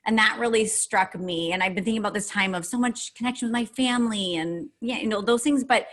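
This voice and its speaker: Brief: woman, 40s, co-host, bright and chatty, upbeat. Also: medium pitch, fairly quick. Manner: chatty and upbeat, self-teasing. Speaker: podcast co-host. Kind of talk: casual podcast chat.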